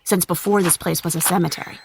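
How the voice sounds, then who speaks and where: A woman's voice: on the low side, fairly even intonation, quick pace, creaky, slight background noise. An actress playing a curious, plucky young woman in an audio drama, eager and inquisitive. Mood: determined.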